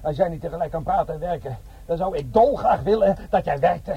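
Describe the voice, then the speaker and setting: Injured sergeant in pain, rough breathy voice, higher-pitched, anxious, exasperated, comic radio drama. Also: male voice, lively intonation, brisk, muffled recording, exaggerated voice. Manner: groaning, stammering, exasperated. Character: trapped, injured sergeant, pained and irritably anxious. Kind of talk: Dutch comic crime radio drama acting.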